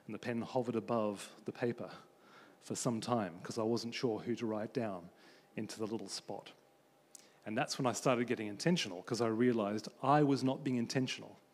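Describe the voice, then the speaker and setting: A man from Australia giving a conference talk about faith and friendship, telling stories in an animated way as he moves around a large stage with a handheld mic. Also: fairly low pitch, moderate pace, a little hiss or hum. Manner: animated storytelling, pacing the stage. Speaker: conference speaker. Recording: long hall reverb.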